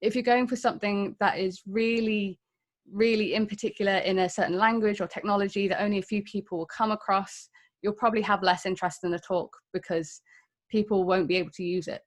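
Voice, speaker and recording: A woman's voice: medium pitch, steady tone, brisk. Candid English woman giving a tech talk. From England. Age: 30s. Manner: candid, self-deprecating, conversational. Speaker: conference speaker.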